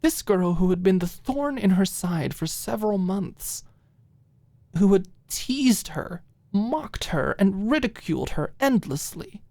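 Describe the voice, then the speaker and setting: A woman narrating a scripted story as a soft ASMR-style audiobook. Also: mid-range voice, animated, a little slow, no room sound.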